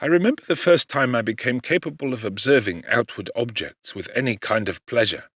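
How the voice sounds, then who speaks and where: A man giving a measured, articulate and dramatic narration of a classic gothic novel. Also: mid-range voice, expressive, a bit bright, slightly rough, no room sound, studio quality. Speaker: audiobook narrator, actor.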